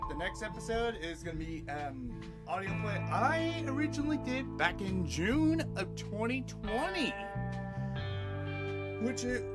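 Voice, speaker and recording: A man hosting an audio play podcast, reading his own writing theatrically with a halting, dramatic delivery. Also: high voice, big pitch swings, over loud music.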